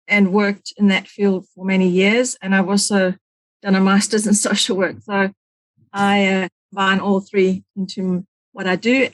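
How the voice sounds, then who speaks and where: A woman's voice: mid-range voice, no echo, rough recording. A middle-aged woman with a South African accent, speaking conversationally as a podcast guest. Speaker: podcast guest, support coordinator. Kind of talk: conversational interview.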